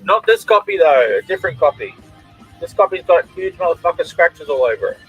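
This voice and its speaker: A man's voice: fairly high pitch, big pitch swings, a little fast, soft background music, low-quality recording. A man with an Australian accent hosting a drawing stream, chatting casually and reading out viewers' comments. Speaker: comic artist and stream host.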